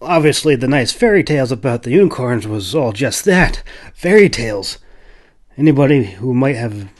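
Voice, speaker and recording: A man's voice: mid-range voice, lively intonation, moderate pace. A man reading scripted narration for a fantasy adventure audiobook. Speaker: author narrating his own audiobook.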